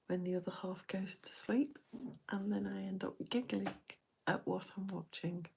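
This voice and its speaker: This is a woman chatting to viewers on a late-night live stream. Her voice sits low and gravelly, and she talks in a husky half-whisper. She takes her time between thoughts. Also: a little mellow.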